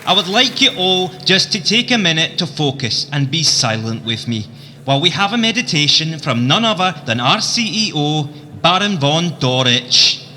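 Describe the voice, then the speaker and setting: A man's voice: a little high, big pitch swings, normal speed, sharp tone, pitched above the performer's own voice, full character voice. Man playing store manager in reverberant hall. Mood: ceremonious. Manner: speechifying, ceremonious. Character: male retail store manager, earnest corporate cheerleader. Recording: long hall reverb, faint noise.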